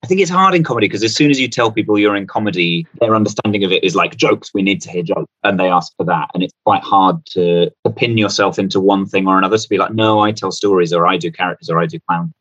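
Dull sounding dry recording of a deep voiced guy, comedian rambling casually, self-deprecating. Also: fast, few pauses, a bit bright, no room sound. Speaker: comedian guest. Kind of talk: casual chat, anecdotal.

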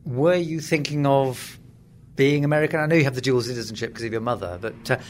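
A man interviewing someone conversationally, putting articulate, probing questions in a measured way. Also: medium pitch, a little hiss or hum. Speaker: interviewer, sports journalist.

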